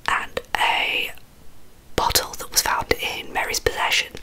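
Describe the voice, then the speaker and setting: Slow, whispering English woman. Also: rough recording. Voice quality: breathy, unvoiced whisper. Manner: soft, unhurried, slightly hesitant. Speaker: ASMR video host. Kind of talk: whispered ASMR true crime storytelling. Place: small carpeted bedroom. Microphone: large microphone very close in front of the mouth.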